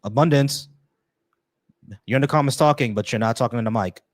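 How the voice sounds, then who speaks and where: A man's voice: mid-range voice, a little fast, dry recording, clean studio sound. A man hosting a call-in debate who keeps interrupting and pressing the same question again and again. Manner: insistent, repeats questions and interrupts. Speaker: debate show host.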